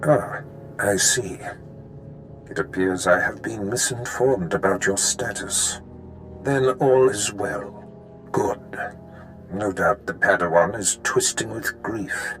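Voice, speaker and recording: A low-voiced villain lord for a cinematic game. He is a Sith master, menacing and calculating, speaking with measured, formal authority over music. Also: a bit bright. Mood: measured, approving.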